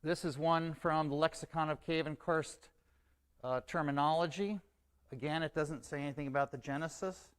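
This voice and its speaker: A man giving a measured, explanatory talk at a scientific conference. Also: fairly high pitch, fairly bright tone. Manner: measured, explanatory, says okay as a filler. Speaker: conference presenter.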